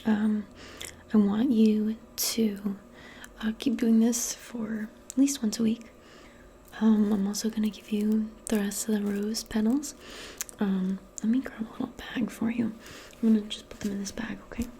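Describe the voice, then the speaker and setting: A woman in a soft-spoken ASMR role play as a modern witch, talking in a gentle, hesitant, breathy half-whisper. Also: mid-range voice, little pitch movement, a little slow, a little hiss or hum. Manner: hushed, gentle, hesitant and informal.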